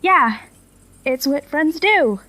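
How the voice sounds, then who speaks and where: A woman's voice: high-pitched, animated, slow, pushed and bright, heavily acted. A young woman playing an apologetic, eager college student in an audio drama, speaking with a hesitant, friendly manner. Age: young adult. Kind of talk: naturalistic audio drama acting.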